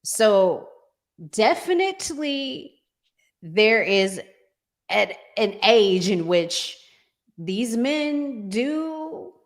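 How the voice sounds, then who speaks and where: A woman hosting a relationship discussion in a conversational, deliberate way, pausing in the middle of her phrases. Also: fairly high pitch, very animated. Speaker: podcast host.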